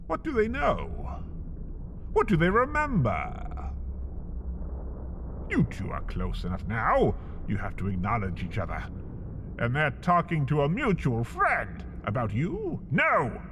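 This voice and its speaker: A man reading a scripted comedic story in the second person, wry and slow, with big swings in his voice. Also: fairly high pitch, fairly bright tone, big-room sound, slight background noise. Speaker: narrator.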